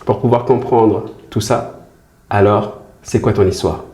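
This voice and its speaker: A man's voice: fairly low pitch, steady tone, a little slow, background noise. A man hosting a conversational podcast, asking questions and giving short encouraging replies. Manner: attentive, short encouraging backchannels. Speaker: podcast host. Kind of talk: casual interview. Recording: roomy echo with faint music.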